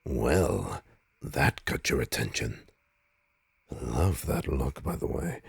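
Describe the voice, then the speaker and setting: A man playing a suave, smug supervillain in an audio roleplay, teasing his nemesis with a pompous, mock-polite drawl and dramatic pauses. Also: a bass voice, lively intonation, full character voice. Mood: coaxing, flirtatious. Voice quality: gravelly, husky. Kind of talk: audio roleplay monologue addressed to listener.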